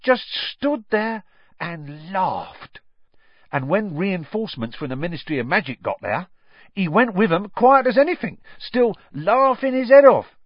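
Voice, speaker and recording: An excitable young London bus conductor, pitched high and swooping. He gossips dramatically in working-class slang and keeps tagging questions onto his sentences. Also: unhurried, no room sound, dull audio, exaggerated voice. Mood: dramatic, gossipy.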